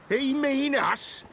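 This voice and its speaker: An oafish, mocking bandit in a fantasy game. The voice is creaky and high, very drawn out, with coarse rustic slang. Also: male voice, a bit bright, slight background noise, full character voice. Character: mocking, cackling male bandit oaf. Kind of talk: video game cutscene acting.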